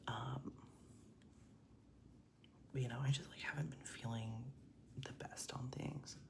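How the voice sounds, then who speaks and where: A man's voice: medium pitch, fairly even intonation, takes it slowly, creaky, background noise. A young transgender man whispering a casual, rambling storytime while doing makeup, with lots of "like"s and casual swearing. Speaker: vlogger.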